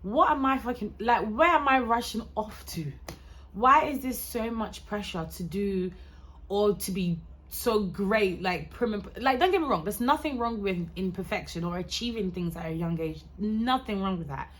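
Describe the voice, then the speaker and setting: A woman's voice: mid-range voice, expressive, moderate pace. A woman in her twenties talking casually to camera, rambling, going off topic, swearing and laughing often. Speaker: vlogger.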